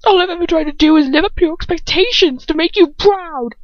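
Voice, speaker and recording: A woman's voice: very high voice, a little fast, dry recording, muffled recording, pitched above the performer's own voice. A woman reading an audiobook as an anguished, bitter young wizard son pleading with his father in an emotional, wavering voice. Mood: anguished, wounded.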